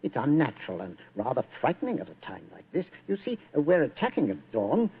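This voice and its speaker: An actor playing a bluff, genial British army general in a vintage radio drama, husky and hesitant, with a British accent. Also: male voice, mid-range voice, wildly swooping pitch, fairly quick, full character voice. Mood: grave, confidential. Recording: thin, muffled old radio recording.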